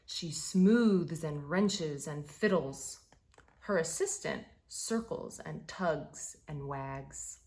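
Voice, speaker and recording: A woman reading a children's picture book aloud in an expressive, deliberate way, stressing key words. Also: deep voice.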